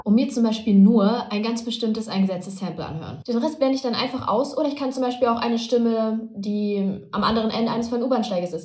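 A woman's voice: medium pitch, dark tone, natural voice. An actress playing a candid young woman confiding in her social media followers in an audio drama. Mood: chatty, explaining. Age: young adult. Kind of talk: scripted social video monologue in audio drama. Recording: echoey, faint noise and clicks.

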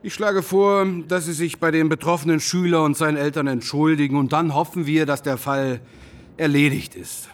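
An actor performs a stern male school principal in a radio drama. His voice sits fairly high, and he speaks formally, with a bureaucratic, admonishing manner. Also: steady tone, moderate pace, lightly acted. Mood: stern, exasperated. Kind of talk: naturalistic German radio drama acting.

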